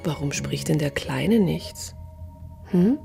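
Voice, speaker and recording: A coarse woman of the night in a radio play. She drawls very slowly in a creaky, mock-tender coo, mocking and coaxing, often half whispered. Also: deep voice, animated, music in the background, exaggerated voice. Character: coarse, teasing village prostitute, mocking and coaxing. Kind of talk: radio drama acting.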